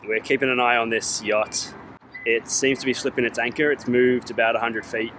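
A man on a sailboat giving calm, matter-of-fact updates to the camera. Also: mid-range voice, moderate pace, noisy background. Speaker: sailing vlogger. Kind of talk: casual on-board updates. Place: outdoors on a sailboat.

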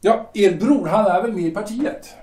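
A man's voice: high voice, darkish tone, reverberant, distant mic, lightly acted. An actor playing a pompous male shipyard director who speaks in hesitant, insinuating phrases. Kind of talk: audio drama acting.

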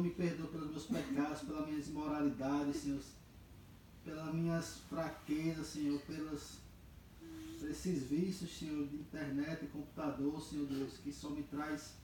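A young man acting as a troubled husband hooked on the computer, praying in a low, pleading voice in a church skit. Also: warm tone, distant mic, a little hiss or hum, close to an everyday voice. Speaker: amateur actor in a church skit. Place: wood-panelled hall, indoors. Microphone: far camera. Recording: echoey hall, picked up from afar.

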